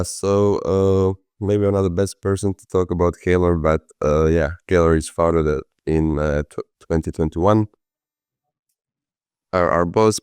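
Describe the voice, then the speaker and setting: A man from Croatia, a product manager, introducing himself on a webinar in a relaxed, hesitant way with many uhs. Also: a bass voice, clear, resonant tone, dry recording, studio quality. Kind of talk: casual presentation with fillers.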